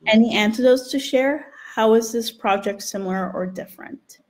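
A woman's voice: fairly high pitch, moderate pace, darkish tone. Middle-aged woman moderating an online discussion. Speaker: host and moderator of a library event. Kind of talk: moderating a live online discussion, reading audience questions. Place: indoor book-lined room. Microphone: video call, computer microphone.

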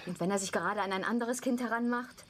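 A woman's voice: mid-range voice, quick pace, crowd noise, close to an everyday voice. An actress playing a worried mother in a German audio drama, urgent in manner. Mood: anxious, pleading. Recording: clear background noise.

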